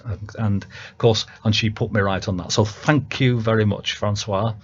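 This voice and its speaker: Halting Northern English man, low voice. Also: fairly even intonation, breathy edge. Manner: hesitant, many ums and restarts. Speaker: songwriter guest. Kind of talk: casual radio chat. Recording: dull lo-fi radio audio.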